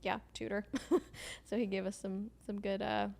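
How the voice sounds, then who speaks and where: Gravelly-voiced Swedish-born woman, hesitant. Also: medium pitch, level tone, moderate pace, a little mellow. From Sweden. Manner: thoughtful, hesitant, many ums and likes, laughs often. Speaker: podcast guest. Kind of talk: casual conversational interview.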